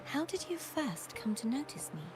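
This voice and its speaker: A woman's voice: sits high, big pitch swings, moderate pace. An actress playing a solemn, searching warrior woman in a video game, speaking formally and earnestly. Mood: questioning, grave. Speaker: game character voice actor. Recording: music behind the voice. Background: music.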